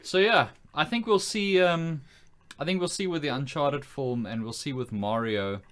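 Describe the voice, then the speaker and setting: A man's voice: a little high, normal speed, a bit bright. A man co-hosting a podcast in casual conversation, thinking aloud and hedging as he builds his point. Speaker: podcast co-host. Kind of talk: casual chat about films and games.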